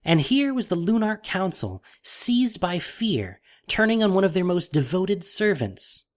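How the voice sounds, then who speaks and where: A man narrating a scripted audio drama in an expressive, theatrical manner. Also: high voice, measured pace.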